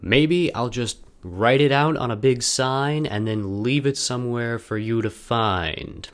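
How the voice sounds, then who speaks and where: A man's voice: mid-range voice, measured pace. A man speaking in a wry, self-deprecating way with joking asides, as in a personal video made by its creator. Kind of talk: casual vlog intro, then loose dramatic reading of a personal letter.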